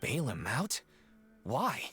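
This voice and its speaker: A man's voice: medium pitch, huge pitch swings, takes it slowly, fairly bright tone, slightly rough, full character voice. An actor playing an indignant townsman pleading for his friend in a video game, with music underneath. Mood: suspicious, disgruntled. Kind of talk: acted game dialogue.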